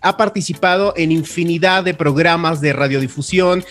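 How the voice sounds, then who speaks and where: A man with a Mexican accent hosting a radio show, presenting guests in a flowing, warm way. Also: on the high side, fairly even intonation, moderate pace. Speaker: radio host.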